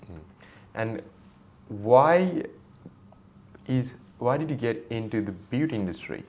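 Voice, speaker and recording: A man hosting an interview, asking questions in slow, formal phrasing. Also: mid-range voice, expressive, a little mellow.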